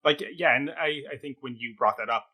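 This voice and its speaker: A man's voice: mid-range voice, a little fast, sharp tone, husky. A man co-hosting a theatre review talk, speaking conversationally in an articulate, wordy way with careful hedging.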